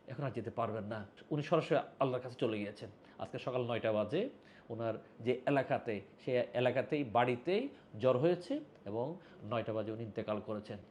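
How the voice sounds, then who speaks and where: A man's voice: medium pitch, a little mellow. A man with a Bangladeshi accent giving a heartfelt, solemn tribute and appeal to viewers, emphatic and repeating phrases for weight. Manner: emphatic, solemn, with rhetorical repetition. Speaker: social volunteer addressing video viewers.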